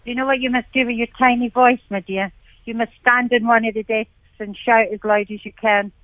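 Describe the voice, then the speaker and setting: A woman's voice: fairly high pitch, fairly even intonation, a little fast, dry recording. Woman medium on a tinny phone line. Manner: motherly, calls callers dear. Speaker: psychic medium guest. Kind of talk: call-in reading over the phone.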